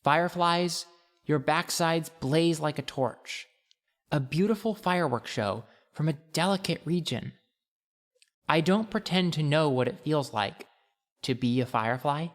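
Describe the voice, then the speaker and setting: A man voicing a bright, self-conscious fourteen-year-old girl in an audiobook, speaking earnestly and deliberately with dramatic pauses. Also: a little high, big-room sound, voice pushed up, close to an everyday voice. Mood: earnest, theatrical.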